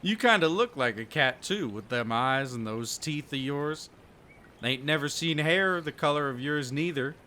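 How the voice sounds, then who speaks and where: Man acting a bright-voiced folksy country fisherman. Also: a little high, expressive, moderate pace, a little hiss or hum. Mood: amused, frank. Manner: folksy rural phrasing, dropped g's. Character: kindly rustic fisherman, folksy storyteller, hospitable. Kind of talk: audio roleplay acting.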